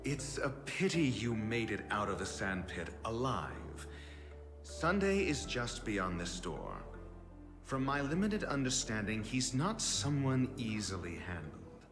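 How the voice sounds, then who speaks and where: An actor playing an aloof, erudite doctor in a video game cutscene, haughty and dryly sarcastic, choosing his words with measured care. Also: medium pitch, very animated. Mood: dry, skeptical. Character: aloof, erudite male scholar-doctor, dry and condescending. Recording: loud background music. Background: music.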